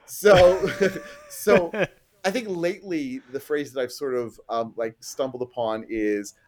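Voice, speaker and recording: A man's voice: mid-range voice, a little slow, slight background noise. A middle-aged man being interviewed as a guest, explaining his work as a trainer in a casual, self-deprecating way with illustrative asides.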